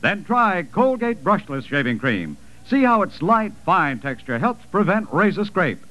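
A man's voice: high voice, very animated, measured pace, bright, forceful tone. A man reading a 1940s radio commercial as an announcer, with an emphatic sales delivery. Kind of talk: 1940s sponsor advertisement read. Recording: dull vintage radio broadcast.

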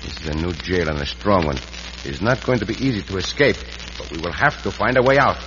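An actor playing a bold, courteous Mexican outlaw hero with a Mexican accent in an old radio western. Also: male voice, deep voice, animated, a little fast. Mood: determined. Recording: muffled, noisy old radio recording.

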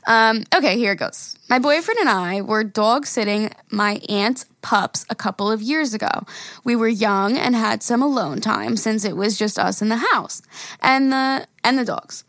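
A woman co-hosting a podcast in casual, expressive chat, exclaiming and swearing freely. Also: a little high, normal speed, no echo, studio quality. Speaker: podcast co-host. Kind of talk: casual chat, reading listener emails aloud.

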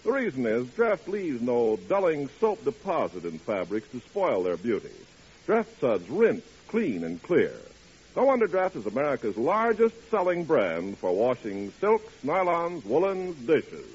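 Animated male 1940s radio announcer. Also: medium pitch, measured pace, breathy edge. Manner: polished, emphatic salesmanship. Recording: muffled, hissy 1940s radio recording.

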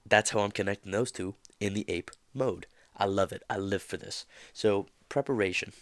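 A man with a deep, slightly nasal voice hosts a motivational podcast, nursing a mild cold. He talks casually and loosely, circling his points with plenty of fillers. The sound is dry, with no room around it. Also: normal speed, a bit bright, dry recording. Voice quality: a little nasal. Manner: casual, rambling, many fillers. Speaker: podcast host.

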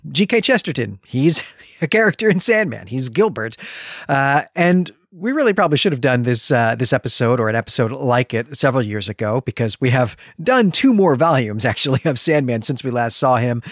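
Guy podcast host chatting about books, mid pitch, rambly explainer, really dry close mic. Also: dry recording. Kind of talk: conversational literary discussion podcast.

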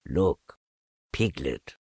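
A gloomy storybook donkey, acted by a man reading aloud. The voice sinks very low and drags along slowly with a husky, mournful murmur, as if talking to itself. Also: lively intonation, a little mellow, no echo, clean studio sound, full character voice. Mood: quietly pleased. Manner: slow, mournful, murmuring to himself. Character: gloomy, slow, melancholy male donkey.

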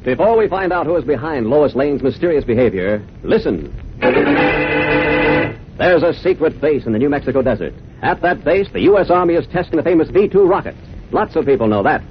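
Animated high-voiced vintage radio pitchman. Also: fairly quick, over loud music. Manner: emphatic salesmanship. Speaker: commercial announcer. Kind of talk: 1940s radio sponsor pitch. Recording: dull vintage radio recording.

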